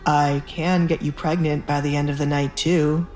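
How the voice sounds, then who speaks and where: A woman performs a powerful young duke for an audio drama. The voice drops lower and stays cool, confident and ruthless, giving orders as a matter of course. Soft music plays underneath. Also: flat delivery, moderate pace, close to an everyday voice. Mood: cool, assured. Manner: cool, self-assured, commanding. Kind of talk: audio drama narration with acted dialogue.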